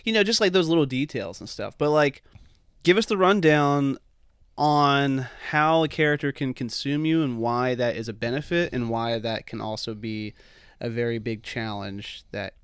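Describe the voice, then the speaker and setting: Smooth-voiced male podcast host. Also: mid-range voice, normal speed, a bit bright, dry recording, studio quality. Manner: chatty, filler-heavy, swears casually. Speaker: podcast host, film director. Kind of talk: casual podcast chat.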